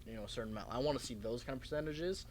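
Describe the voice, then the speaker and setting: A man's voice: mid-range voice, racing, a little hiss or hum. A young American man co-hosting a podcast, chatting casually and bluntly with frequent swearing. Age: young adult. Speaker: podcast co-host. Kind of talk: casual group chat.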